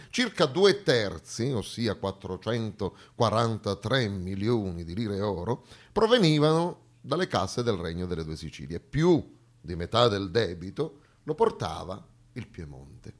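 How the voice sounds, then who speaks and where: A man performing a rhetorical stage monologue, emphatic and swinging between hushed and loud delivery. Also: on the low side. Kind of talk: theatrical monologue on history.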